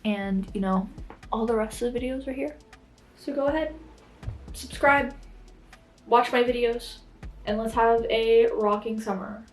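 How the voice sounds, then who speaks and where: A woman's voice: on the high side, unhurried, long pauses. A young woman vlogging casually to camera, chatty and full of fillers, her voice strained and sore. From Florida, United States. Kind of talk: casual vlog talk to camera.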